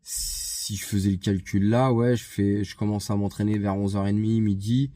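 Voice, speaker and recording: Congested man, low and dark, unhurried and halting, vlog chat. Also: steady tone, no echo, studio quality. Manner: hesitant, many fillers and restarts. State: congested with a head cold. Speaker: vlogger. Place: outside a gym.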